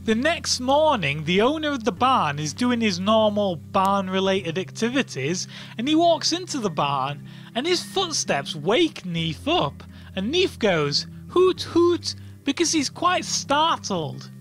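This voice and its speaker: An informal storyteller reads a comic fairy tale with highly animated intonation. The telling is playful and colloquial, over background music. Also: unhurried.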